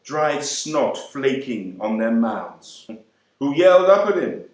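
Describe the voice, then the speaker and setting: A man performing a dramatic literary monologue in a measured, deliberate, emphatic way. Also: medium pitch, big pitch swings. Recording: very echoey room.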